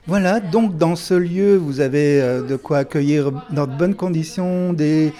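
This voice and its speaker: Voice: mid-range voice, a little slow, mellow. An interviewer asking short questions and summing up the guest's answers.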